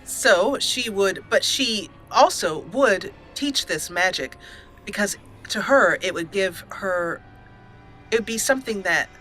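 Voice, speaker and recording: A woman's voice: mid-range voice, lively intonation, takes it slowly, pushed and bright, soft background music, low-quality recording. A woman hosting a let's play, switching between storytelling narration and commentary on her roleplay game.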